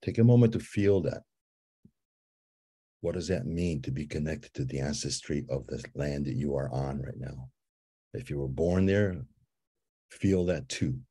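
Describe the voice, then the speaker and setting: A man from Guatemala with a very deep, husky voice guides a meditation and tells a story. His tone is mellow and unhurried, and he repeats gentle invitations to feel and imagine. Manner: slow, calm and repetitive, with soft repeated invitations. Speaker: ceremony leader guiding a visioning exercise.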